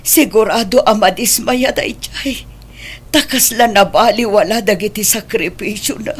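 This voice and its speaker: A woman playing a worried, sorrowful middle-aged mother in a Filipino radio drama, pleading and blaming herself in a husky voice. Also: mid-range voice, normal speed, natural voice. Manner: pleading, lamenting.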